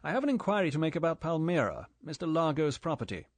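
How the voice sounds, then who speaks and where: A man voicing a confident English agent who talks in a brisk, matter-of-fact way. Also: on the high side, sing-song, no room sound, voice pushed up.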